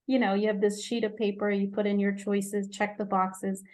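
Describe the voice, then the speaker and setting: A woman's voice: medium pitch, completely flat delivery, moderate pace, a little mellow. A woman from Egypt, a physician guest in an interview, talking in a searching way, often correcting herself and laughing lightly. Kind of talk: conversational interview.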